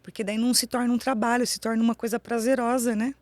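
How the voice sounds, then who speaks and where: A woman's voice: on the high side, flat delivery, fast, dry recording. Brazilian woman podcast host, dry sound. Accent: Brazilian Portuguese. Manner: short affirmations, follow-up questions. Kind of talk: casual interview chat.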